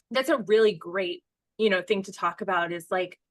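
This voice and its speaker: A woman's voice: mid-range voice, unhurried, no echo. A woman hosting a podcast in casual conversation, reflective and thinking aloud as she talks. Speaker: podcast host.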